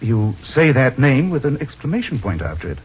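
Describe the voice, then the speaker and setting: An actor playing a suave, dryly witty gentleman detective in an old radio drama, urbane and deliberate. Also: medium pitch, very animated, breathy edge, heavily acted. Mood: suave, probing. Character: suave, wry gentleman detective, cool and unhurried. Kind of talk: 1940s-50s radio acting. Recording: muffled old radio recording.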